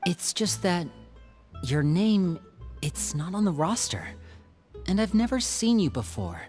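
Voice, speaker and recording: A man's voice: high voice, very animated, unhurried, darkish tone, soft background music. A man voicing a clever, composed teenage boy magician in a video game, with a suave, coaxing manner. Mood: composed, probing.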